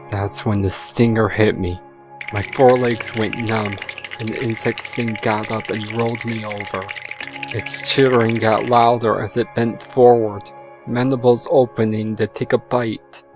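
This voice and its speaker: A man playing a guilt-ridden stallion confessing in prayer, in a sombre, measured, remorseful way for a dramatic fan fiction reading. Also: mid-range voice, close to an everyday voice.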